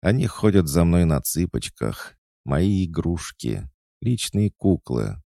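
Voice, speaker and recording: A man voicing a proud, quick-tempered young noblewoman in an audiobook, sharp and disdainful. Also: deep voice, big pitch swings, creaky, dry recording, clean studio sound, voice pushed up, full character voice. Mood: cold.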